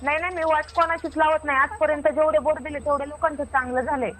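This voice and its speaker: Comic phone skit: woman acting a self-assured rural Marathi water diviner, high and quick, argumentative, stubbornly repeating herself. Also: steady tone, fairly bright tone, poor audio. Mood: heated, stubborn. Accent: Marathi, rural Maharashtra.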